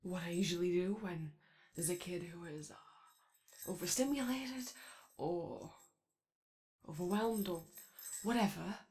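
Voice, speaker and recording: A woman voicing an anxious, eager and gentle robot daycare attendant in an ASMR roleplay, speaking in a hesitant, rambling way. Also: lowish voice, a little hiss or hum, lightly acted. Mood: helpful, unsure. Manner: hesitant, rambling, halting speech. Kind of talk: improvised-sounding ASMR character roleplay.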